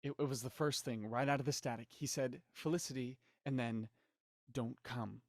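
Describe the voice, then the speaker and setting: A man's voice: mid-range voice, fairly even intonation, moderate pace, no room sound, clean studio sound, lightly acted. An actor playing an anxious, skeptical man who pleads and stammers in an audio drama. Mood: hesitant. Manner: hesitant, stammering.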